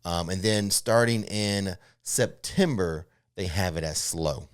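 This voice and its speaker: Low voiced guy co-host, casual q&a chat, explains stuff plainly n informally. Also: takes it slowly, clean tone. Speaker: co-host answering viewer questions. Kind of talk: casual unscripted theme park news chat. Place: studio.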